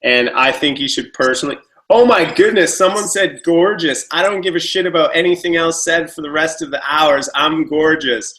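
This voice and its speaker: A man co-hosting a casual online show over a video call speaks with a bright, forward tone. His voice sits a little high. He is quick and self-mocking, and he sounds tired. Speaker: co-host. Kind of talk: casual live-stream chat.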